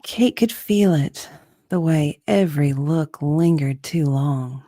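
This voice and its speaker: Low, mellow woman reading steamy romance. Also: slow pace, dry recording, clean studio sound. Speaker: narrator in a dramatic reading. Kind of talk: dramatic romance audiobook narration.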